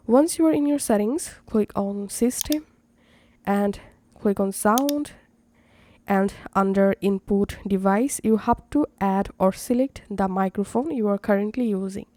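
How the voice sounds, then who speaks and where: A woman narrating a step-by-step software tutorial as its host. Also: medium pitch, a little slow.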